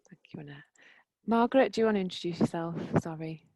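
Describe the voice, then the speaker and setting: A woman hosting a video meeting, calling on each person in turn, often apologetic and trailing off quietly. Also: on the low side, fast, gravelly, dry recording, low-quality recording. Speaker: meeting host. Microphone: video call.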